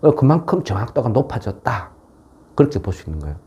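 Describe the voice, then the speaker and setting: Mellow Korean man with regional dialect. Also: mid-range voice, big pitch swings, fast talker, husky. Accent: regional South Korean dialect, non-Seoul. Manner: folksy, frequent rhetorical tag questions. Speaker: stock market commentator. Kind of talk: informal explanatory market lecture.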